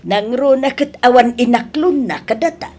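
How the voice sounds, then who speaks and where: A woman's voice: fairly high pitch, moderate pace, a little mellow, a little hiss or hum, lightly acted. A woman with a Filipino accent playing an anxious older mother and wife in an audio drama. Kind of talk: Ilocano radio drama acting.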